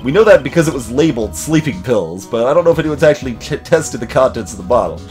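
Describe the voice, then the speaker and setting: A man's voice: medium pitch, very animated, fast talker, a little mellow. A man hosting a let's play with casual, hesitant commentary, rambling as he thinks aloud. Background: game music.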